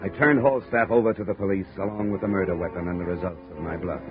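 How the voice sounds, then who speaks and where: A man's voice: low voice, steady tone, fast, close to an everyday voice. An American actor playing a tough, world-weary male insurance investigator narrating his case tersely and wryly in 1950s radio drama. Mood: grim. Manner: terse, wry, hardboiled. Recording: muffled 1950 radio recording, music behind.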